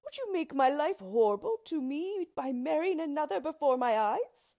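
A woman's voice: sits high, very animated, normal speed, warm tone, voice pushed up. A woman voicing an anguished young king in a storybook, a devoted lover pleading melodramatically.

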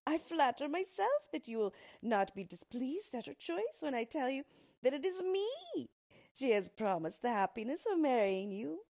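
Storybook dwarf , female reader: small malicious male, boastful and wheedling, husky, swooping delivery. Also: high voice, big pitch swings, normal speed, a little mellow, pitched above the performer's own voice, exaggerated voice. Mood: flattering. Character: malicious, boastful little male dwarf monster of fairy tale.

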